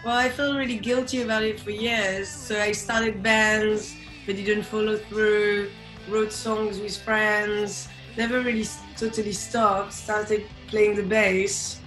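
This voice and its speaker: A woman's voice: medium pitch, little pitch movement, slow, fairly bright tone. A young woman from France with a French accent, chatting casually as an interviewed singer on a video call. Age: young adult. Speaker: band singer being interviewed. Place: indoor room by window, video call. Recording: roomy echo, music behind.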